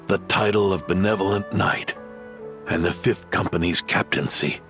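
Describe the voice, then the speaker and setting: A man playing a gentle, dying older knight captain in a video game, weary, halting and gravelly. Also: low-pitched, over music, close to an everyday voice. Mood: weary, tender.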